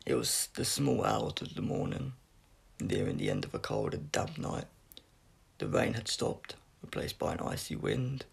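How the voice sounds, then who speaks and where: A man reading a science fiction story aloud as scripted narration, in a gravelly, husky voice with an unpolished, amateur delivery. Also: mid-range voice. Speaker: audiobook reader.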